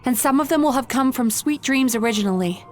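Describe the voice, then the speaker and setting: An actress playing a calm, caring dream therapist and guide in a video game. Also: female voice, high-pitched, a little fast. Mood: guarded, focused. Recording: music behind.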